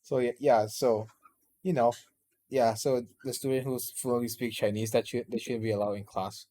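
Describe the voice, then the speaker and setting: A man's voice: medium pitch, fairly even intonation, normal speed, dark tone. A young man chatting casually with friends on a podcast, rambling with lots of restarts and fillers. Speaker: podcast co-host, student. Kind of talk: casual chat.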